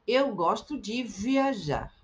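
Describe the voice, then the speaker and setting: A middle-aged woman teaching Portuguese, repeating short phrases slowly and with careful articulation for learners. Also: a little high, lively intonation, a little hiss or hum. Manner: slow, carefully articulated repetition. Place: indoors, webcam in a circle overlay.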